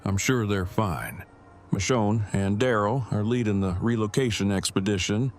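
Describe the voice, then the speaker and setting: A man playing a formal, wordy, deadpan young father and husband in an audio drama, with precise phrasing. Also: on the low side, moderate pace, slight background noise, close to an everyday voice. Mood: calm, reassuring. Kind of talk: fan audio drama acting.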